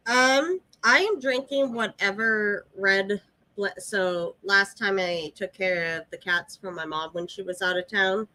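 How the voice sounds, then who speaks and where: A woman's voice: medium pitch, a little slow, pushed and bright, no room sound, poor audio. A woman co-hosting a casual live podcast chat, congested with sniffles, teasing her co-host and reading out comments.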